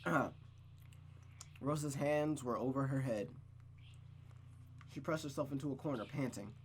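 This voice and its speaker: A man's voice: mid-range voice, a little slow, a little hiss or hum. A man streaming a visual novel, narrating it aloud like a storybook and adding casual asides.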